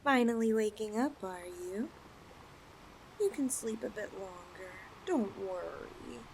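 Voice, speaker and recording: A woman's voice: medium pitch, expressive, slow, slight background noise, low-quality recording. A woman playing a possessive, teasing snake girl in an ASMR audio roleplay, with a coy, cooing delivery. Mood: sweet, teasing. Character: possessive, teasing young yandere lamia girl, half snake.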